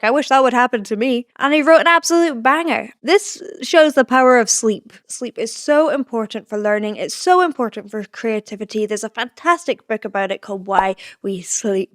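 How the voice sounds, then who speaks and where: A woman with a high voice, a vocal coach enthusiastically explaining singing technique while reacting to a song. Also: no room sound, clean studio sound.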